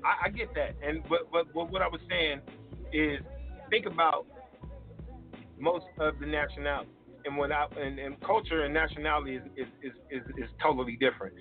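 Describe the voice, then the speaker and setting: A man's voice: a little high, steady tone, a little fast. A man debating off the cuff in an emphatic way, repeating words and restarting his phrases as he presses a point. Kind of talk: unscripted debate. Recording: tinny phone-like line, faint music behind.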